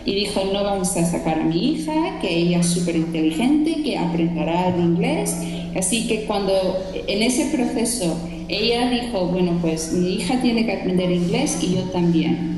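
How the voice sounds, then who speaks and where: A woman's voice: medium pitch, darkish tone, a little hiss or hum. A woman in her thirties from Chicago with an American accent, telling her personal story at a gathering, hesitant, with many restarts and fillers. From Chicago, United States. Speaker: student speaker at a gathering. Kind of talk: personal testimony talk. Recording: echoey hall with long reverb and music.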